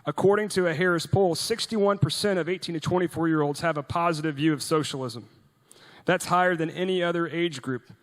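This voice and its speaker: A young man from Norway, addressing a crowd with earnest conviction and fairly high pitch. He stumbles over restarts and throws in rhetorical questions. His voice rings with the long reverb of a large hall. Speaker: event speaker. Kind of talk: rousing speech to a youth audience.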